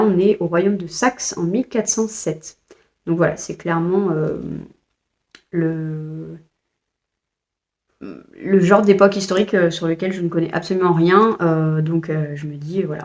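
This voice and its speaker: A French woman vlogger chatting casually about books she bought, hesitant with frequent fillers and restarts. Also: fairly low pitch. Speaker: book vlogger. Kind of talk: casual book haul chat.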